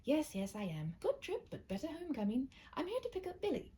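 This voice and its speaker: A woman voicing a genial, chatty older grandmother in a read-aloud story, fondly exasperated and expressive. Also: high-pitched, a little fast, slight background noise, voice pushed up. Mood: cheerful, chatty.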